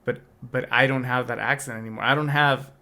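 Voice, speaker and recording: A man's voice: mid-range voice, fairly even intonation, fairly quick, sharp tone. A young adult man co-hosting a podcast, talking in a casual, reflective way with many restarts. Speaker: podcast co-host. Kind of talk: casual chat.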